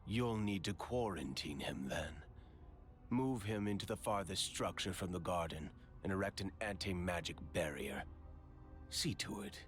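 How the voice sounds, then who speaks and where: An actor playing a composed, aloof young prince in a video game, speaking in a measured, formal and commanding way. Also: male voice, sits low, animated, faint music behind.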